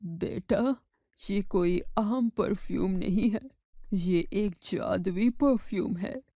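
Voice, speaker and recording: A woman plays a kindly holy hermit of the forest in a storybook tale. The delivery is very slow and reassuring, with a soft, mellow tone in a dry, close recording. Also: mid-range voice, no echo. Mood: calm, reassuring. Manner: measured, reassuring.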